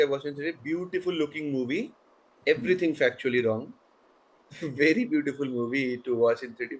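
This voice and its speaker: A man's voice: mid-range voice, poor audio. A man hosting a quiz, reading out questions and chatting with the players between them. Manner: brisk, chatty, breaks into easy laughter between questions. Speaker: quizmaster.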